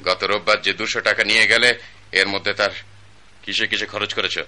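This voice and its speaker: A man's voice: lowish voice, flat delivery, brisk, sharp tone. A man playing a pompous, lecturing rich patriarch. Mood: scolding. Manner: lecturing, self-righteous. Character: wealthy stern patriarch, pompous older man. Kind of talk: radio drama acting.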